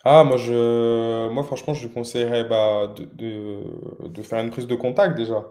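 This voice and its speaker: A young man talking casually as the guest in an interview, his voice mellow and relaxed. He hesitates often, restarting phrases and filling gaps as he goes. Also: medium pitch, measured pace. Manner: hesitant, many fillers and restarts. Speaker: interviewed entrepreneur.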